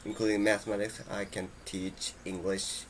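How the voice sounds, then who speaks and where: A man's voice: on the low side, flat delivery, normal speed, slight background noise. A Japanese man in his thirties speaking English with a Japanese accent, talking hesitantly to the camera in short phrases. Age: 30s. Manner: hesitant, few words. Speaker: teacher, vlogger's husband.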